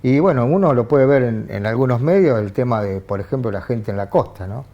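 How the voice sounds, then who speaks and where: A man with an Argentine accent, a journalist guest on a TV political panel who talks in halting phrases with frequent restarts and fillers. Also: medium pitch, expressive, lo-fi sound. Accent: Argentine Spanish. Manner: hesitant, many restarts and fillers. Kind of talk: TV studio political discussion. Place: TV studio.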